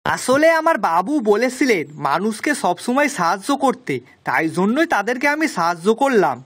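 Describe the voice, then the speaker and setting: A woman's voice: medium pitch, sing-song, moderate pace, slightly rough. A woman voicing a simple, kind-hearted village man in a children's cartoon, earnest and folksy. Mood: worried.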